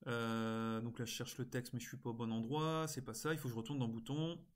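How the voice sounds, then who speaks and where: A man presenting an informal screen-recorded software tutorial, explaining step by step in a casual way with frequent little interjections. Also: mid-range voice, fairly even intonation, brisk. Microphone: screen-recording voice-over.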